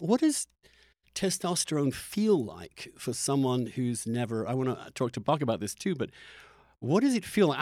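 Earnest, halting man interviewing on a podcast. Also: on the high side, no room sound, clean studio sound. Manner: earnest, halting, circles back to rephrase questions. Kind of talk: conversational interview.

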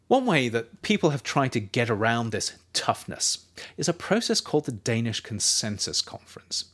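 A man reading his own nonfiction writing aloud as a narrator, measured and explanatory, with emphatic phrasing. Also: mid-range voice, fairly bright tone. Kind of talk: scripted audiobook-style narration.

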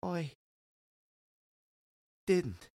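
An actor playing a wounded Saxon fighter in an audio drama, bleak, haunted and resigned to defeat. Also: male voice, high-pitched, sing-song, very slow, mellow, full character voice. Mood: bitter despair.

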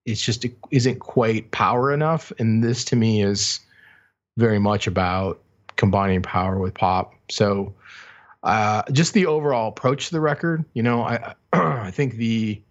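A man with a fairly low voice co-hosts a casual music podcast. He talks reflectively, working out his thoughts as he goes. The recording is a little dull and lo-fi. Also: a little slow. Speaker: podcast co-host. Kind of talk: casual music review chat.

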